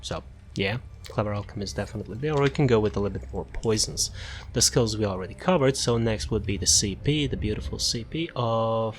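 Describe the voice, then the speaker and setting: A man with a fairly low voice talks through a game guide in a casual, unscripted way. He explains things loosely and corrects himself along the way. Noticeable noise and game ambience sit behind his voice. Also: fairly quick. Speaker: gamer presenting a character build guide. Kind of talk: casual gameplay guide commentary.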